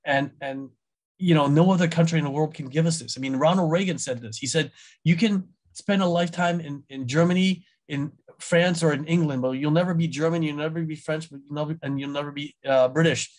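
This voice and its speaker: A man with a somewhat high-pitched voice answers an interviewer's questions as a congressional candidate. He speaks earnestly and often stumbles and repeats words as he restarts a thought. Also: a little fast. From United States.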